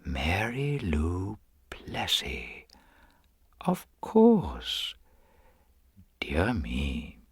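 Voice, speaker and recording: Actor playing a mild, diffident, low-voiced investigator. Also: male voice, huge pitch swings, extremely slow, pauses often, breathy edge, no room sound, clean studio sound, exaggerated voice. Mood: quiet musing. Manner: polite, hesitant, precise, understated. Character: mild, diffident, courteous middle-aged male government investigator.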